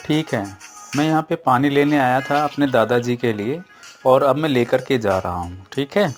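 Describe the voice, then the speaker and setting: Honest village youth, played by a man: humble, courteous, medium pitch, light music behind. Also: normal speed, close to an everyday voice. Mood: obliging. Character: humble, honest poor young man, loyal grandson.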